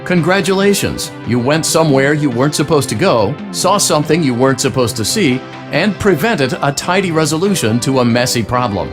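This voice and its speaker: Man playing a snide, lively game announcer over music. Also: fairly high pitch, a little fast, lightly acted. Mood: cheerfully snide. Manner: mock-congratulatory, condescending salesman delivery. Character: falsely cheery, snide male corporate tutorial announcer. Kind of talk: scripted narration.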